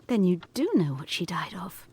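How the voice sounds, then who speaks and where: A woman playing an anxious, probing young governess in a gothic audio drama, earnest, measured and intense. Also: fairly low pitch, very animated, a little mellow, slightly rough, exaggerated voice.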